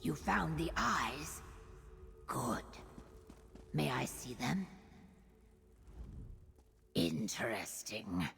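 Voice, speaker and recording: An actress voicing a solemn, guarded reptilian guide in a fantasy video game, speaking in measured, terse, raspy phrases. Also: female voice, extremely low pitch, very animated, a bit bright, exaggerated voice. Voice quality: raspy, breathy. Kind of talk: scripted video game acting. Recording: long cavernous reverb on voice.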